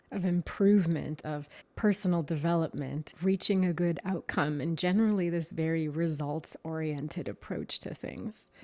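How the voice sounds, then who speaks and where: Woman, low voice, soft mellow tone, calm measured meditation teacher talk. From Canada. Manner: calm, gentle and measured, slowing down on key words. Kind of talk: reflective to-camera talk.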